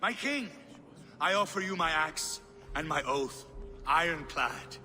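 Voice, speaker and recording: An actor playing a brash, aggrieved young Norse warrior villain in a video game, declaiming in an accusing way. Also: male voice, on the high side, animated, slow pace, sharp tone, slight background noise, exaggerated voice. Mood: eager, pledging. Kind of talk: video game cinematic acting. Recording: distant voice in reverberant hall.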